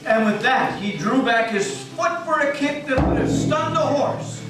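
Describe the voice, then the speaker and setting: A man's voice: very high-pitched, expressive, a little slow. A man narrating a story dramatically, as part of a live reading in a meeting house. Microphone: distant microphone. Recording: echoey hall, distant mic, music.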